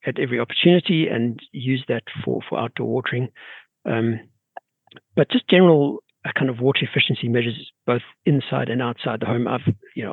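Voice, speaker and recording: A middle-aged man from South Africa with a South African accent, a guest expert talking in a measured, halting way with many restarts. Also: mid-range voice, gravelly, slightly rough, dry recording. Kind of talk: casual podcast interview. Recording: tinny phone-like remote line.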